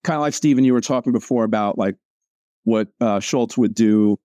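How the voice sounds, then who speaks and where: A man talking casually about his comic strips, rambling and restarting his sentences with many fillers. His voice is mellow and relaxed, and the sound is dry and close. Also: lowish voice, moderate pace, no echo. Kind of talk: casual chat over a video call.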